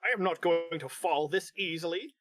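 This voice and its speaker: A man's voice: on the high side, steady tone, normal speed, a bit bright, lightly acted. A man voicing a boastful cartoon villain doctor, declaiming grandly in a mock-dramatic fan fiction reading. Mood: defiant.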